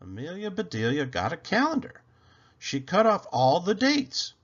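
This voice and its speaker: Guy reading a kids book, super expressive, bit husky, dull lo-fi audio. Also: high voice, moderate pace. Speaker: children's book read-aloud narrator. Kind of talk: expressive storybook reading for children.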